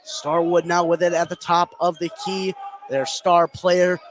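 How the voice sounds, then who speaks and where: High-voiced man calling live basketball. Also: flat delivery, a little slow. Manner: narrates the action continuously, voice rising on big plays. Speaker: play-by-play sports commentator. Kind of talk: live basketball radio play-by-play. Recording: noisy live gym broadcast.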